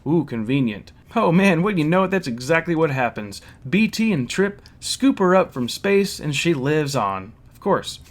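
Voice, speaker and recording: A man's voice: fairly high pitch, big pitch swings, moderate pace, few pauses. A man giving a casual, opinionated review and story recap, speaking in a blunt, critical way.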